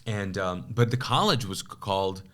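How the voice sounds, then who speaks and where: A man in his forties who grew up in Kuwait, speaking Urdu as his first language, telling his story as a pastor in a relaxed interview, with lots of fillers and restarts. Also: lowish voice, moderate pace. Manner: rambling, many fillers and restarts. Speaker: pastor and podcast guest.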